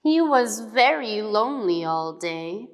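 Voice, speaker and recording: A woman reading scripted fables as a storybook narrator, expressive, dramatic and deliberate. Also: mid-range voice. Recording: long hall reverb.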